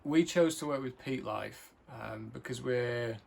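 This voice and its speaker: A male filmmaker speaking earnestly and thoughtfully about his work, pausing often with fillers. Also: mid-range voice, vocal fry, a little mellow. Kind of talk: casual film introduction.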